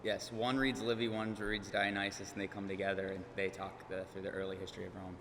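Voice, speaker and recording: A man's voice: low-pitched, level tone, brisk, fairly bright tone. A man and podcast host speaking casually on a conference panel, chatty and self-deprecating. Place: large conference hall. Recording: hall reverb, faint room noise.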